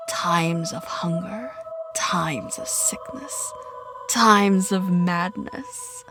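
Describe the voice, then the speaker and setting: A woman narrating a scripted horror story with a measured, dramatic delivery. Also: medium pitch. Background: music.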